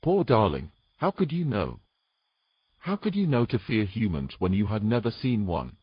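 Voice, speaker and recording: A man playing a tender, protective knight in an audio drama, speaking softly and soothingly with a husky voice. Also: on the low side, big pitch swings, normal speed, no room sound, muffled recording. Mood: consoling. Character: tender, protective male knight comforting a mermaid.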